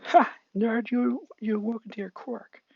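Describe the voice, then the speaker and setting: A man's voice: very high voice, normal speed, gravelly, dry recording, dull audio, pitched above the performer's own voice, exaggerated voice. A man doing a sneering bully boy. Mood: scoffing. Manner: sneering, taunting. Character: arrogant hot-tempered bully boy.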